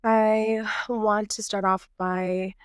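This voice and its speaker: A woman getting over a cold, so her voice comes out nasal, speaking in a smooth, clear tone with a dry, close sound. She is from Texas and hosts her own podcast. She talks earnestly and reflectively, half-improvising with plenty of "um"s. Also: mid-range voice, little pitch movement, takes it slowly, no echo. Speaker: podcast host.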